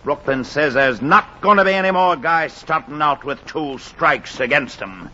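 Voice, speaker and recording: A man's voice: on the high side, lively intonation, moderate pace, sharp tone. A man playing a principled American baseball executive, lecturing emphatically in a 1940s radio drama. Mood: grave, firm. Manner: emphatic, oratorical. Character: principled, forceful male baseball executive lecturing his players. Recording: dull 1940s radio recording with hiss.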